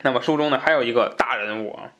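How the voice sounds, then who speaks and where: A man with a mid-pitched voice presents as a podcast host. He explains in an informal, conversational manner, wandering into asides and repeating phrases to drive points home. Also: fast talker, fairly bright tone. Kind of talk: informal explanatory book talk.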